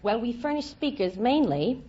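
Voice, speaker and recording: A woman's voice: on the high side, very animated, normal speed, warm tone, slight background noise. An American woman from New York, a quiz show contestant giving earnest, plainly informative answers. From Staten Island, New York. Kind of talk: spontaneous interview answers. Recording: dull old radio recording.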